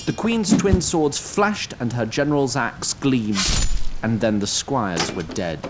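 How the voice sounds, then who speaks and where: A man's voice: mid-range voice, noisy background. A man narrating a scripted fantasy audio drama in a dramatic storytelling style.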